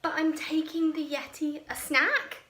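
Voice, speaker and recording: A woman voicing an eager, excitable young boy adventurer in a storybook, exclaiming earnestly and dramatically. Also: high-pitched, a little slow, roomy sound, slight background noise. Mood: apologetic.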